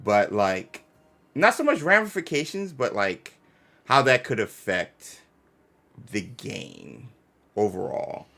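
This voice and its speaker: Man, livestream host, casual chat, lively intonation, playful, informal. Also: on the low side, takes it slowly, creaky, long pauses. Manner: animated, playful, informal slang. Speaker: live stream host.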